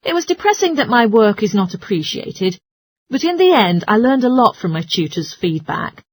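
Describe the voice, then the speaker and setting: A woman reading scripted listening test dialogue and instructions in an articulate, orderly way, with a slightly husky voice. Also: mid-range voice, very animated, normal speed. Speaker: listening test voice actor and announcer.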